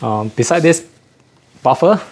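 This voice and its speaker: A man giving a casual unboxing chat as a host, often pausing to rephrase himself. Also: a little high, lively intonation, a little mellow, slightly rough. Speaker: host of an audio gear channel.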